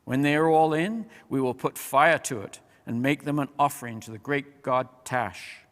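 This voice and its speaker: Storybook villain captain, man performing: loud, ruthless-sounding commander, declaiming in long hall reverb. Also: mid-range voice, normal speed, close to an everyday voice. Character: forceful menacing enemy captain commanding soldiers.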